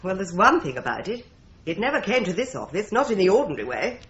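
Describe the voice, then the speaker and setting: An actress playing a brisk, sharp-tongued female office worker in a radio drama, crisp and decisive. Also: mid-range voice, sing-song, echoey room, dull audio. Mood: dismissive. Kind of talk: 1950s-style BBC radio drama.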